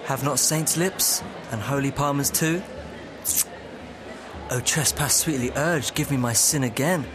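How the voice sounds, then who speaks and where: A young man acting an ardent, lovestruck young nobleman in a Shakespearean audio play, with a poetic, breathy, impassioned delivery. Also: medium pitch, a little slow, noisy background, natural voice. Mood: tender. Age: young adult. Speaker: student actor.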